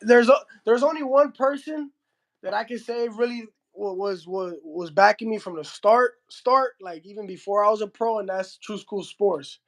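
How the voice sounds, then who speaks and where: Young Miami heavyweight, Spanish first language, high voice, slangy casual interview talk full of restarts. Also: male voice, moderate pace. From Miami, Florida. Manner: slangy, says bro a lot, frequent restarts. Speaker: heavyweight boxer.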